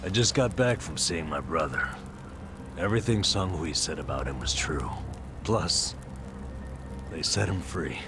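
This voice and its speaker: An actor playing a bitter, world-weary, guilt-ridden man who is gruffly protective, in a blunt, cynical video game performance. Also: rumbling low, lively intonation, a little slow, pauses often, music in the background, exaggerated voice. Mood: subdued. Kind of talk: dramatic video game voice acting.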